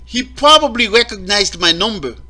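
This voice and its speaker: A man from Guatemala performing a stand-up comedy set with a slow, deadpan delivery on a live stage. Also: high-pitched. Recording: loud audience laughter and noise.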